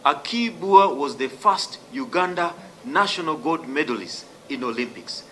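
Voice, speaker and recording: A middle-aged man speaks in an aggrieved, emphatic way into a desk gooseneck mic. His voice is bright and slightly high for a man, with faint noise behind him. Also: expressive, a little slow. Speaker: member of parliament. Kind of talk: press statement. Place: committee room.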